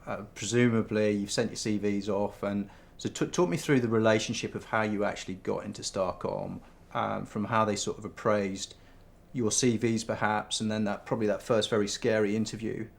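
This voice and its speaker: Man, interviewer, low voice, gravelly, probing questions, restarts. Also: steady tone, normal speed. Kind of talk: interview conversation.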